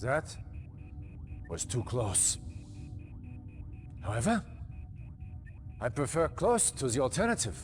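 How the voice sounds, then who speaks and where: Casting: measured, authoritative officer giving mission briefings in a game cutscene, slow, lively pitch, faint music. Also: male voice. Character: commanding male officer briefing the player. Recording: game soundtrack music underneath.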